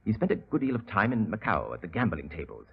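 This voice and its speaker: An actor playing a nervous, earnest young gentleman in a 1940s radio drama, polite and hesitant. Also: on the low side, sing-song, fast, vocal fry, breathy edge, exaggerated voice. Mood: earnest, confiding. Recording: dull old radio recording.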